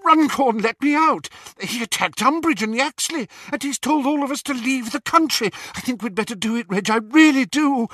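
A frantic, worried wife of middle age, her voice pitched high and breathless as she pleads in an audiobook, put on by a male reader. Her words tumble out in bright, anxious bursts over a dry, close recording. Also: lively intonation, normal speed, few pauses, no room sound, exaggerated voice.

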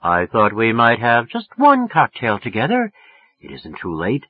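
A man voicing a teenage boy who deepens his voice and talks deliberately to pass as a suave, mature man. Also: wildly swooping pitch, highs cut off. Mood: suave, coaxing. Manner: slow, deliberate, falsely suave.

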